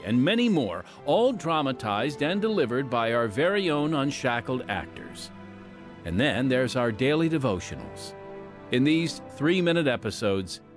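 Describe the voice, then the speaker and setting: A male radio announcer reads a script in a polished, measured way. His mid-pitched voice moves with very lively intonation, and music plays clearly behind him.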